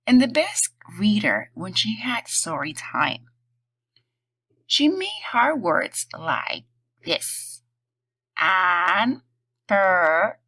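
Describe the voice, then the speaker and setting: A woman reading a children's picture book aloud in an expressive, deliberate storytelling style. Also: fairly low pitch, slightly rough, low-quality recording.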